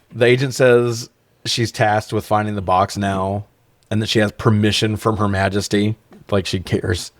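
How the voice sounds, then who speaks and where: A man with a fairly low voice speaks in a very dry, close recording. He recaps a movie casually as a podcast co-host. His delivery is sarcastic, profane and amused. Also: level tone, unhurried, no echo. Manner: sarcastic, profane, laughs through remarks.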